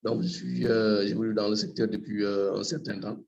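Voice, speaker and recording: Hoarse male farmer, gravelly, lowish, muffled call line. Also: level tone, a little mellow. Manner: halting, many fillers. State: hoarse from a cold. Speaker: farmer entrepreneur. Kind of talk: self-introduction in online meeting. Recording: muffled video-call audio.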